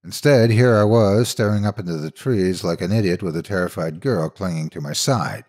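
Male storyteller, low-pitched, husky, dramatic horror narration, suspenseful, measured. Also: darkish tone.

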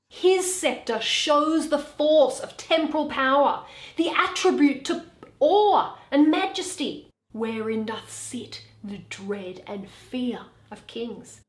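Casting: earnest young advocate, played by a woman, high voice, persuasive classical verse, recorded in an echoey room. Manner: deliberate, persuasive. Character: earnest, measured young male lawyer pleading for mercy. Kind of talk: dramatic Shakespeare reading.

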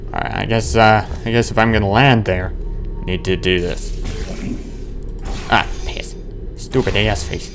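A man's voice: fairly low pitch, steady tone, a little slow, gravelly, pauses often, music in the background. A young man giving casual gameplay commentary, muttering to himself with mild swearing. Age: young adult. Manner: muttering to himself, lightly profane. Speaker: let's play host.

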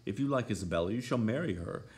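A man voicing an imperious, hot-tempered young gentlewoman in a story, commanding and scolding. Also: fairly low pitch, animated, fast, noisy background, voice pushed up. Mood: jealous, challenging.

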